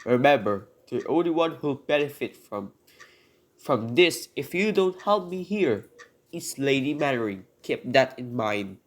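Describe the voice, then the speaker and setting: A man's voice: mid-range voice, lively intonation, measured pace, close to an everyday voice. A man playing an embittered poor convicted burglar in a radio drama, speaking formally and earnestly as he pleads his case and recounts his story. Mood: pleading, bitter. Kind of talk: hobby radio drama acting, long monologue.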